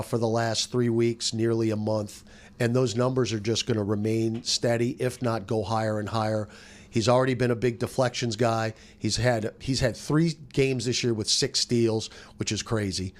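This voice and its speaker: A man's voice: medium pitch, steady tone, moderate pace. A man giving casual sports analysis as a panelist on a radio roundtable. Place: studio roundtable.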